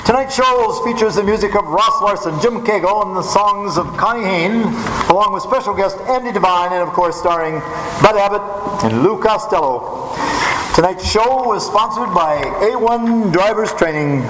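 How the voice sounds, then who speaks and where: A man's voice: high voice, normal speed. A man announcing a program in a scripted old-time radio style. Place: live theater stage. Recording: echoey hall, noisy live stage recording.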